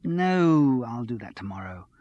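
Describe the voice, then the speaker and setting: A man reading an audiobook, voicing an excitable, slightly tipsy young woman who chatters on without pause. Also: mid-range voice, dry recording, dull audio. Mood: giddy. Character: giddy, tipsy young woman studio manager.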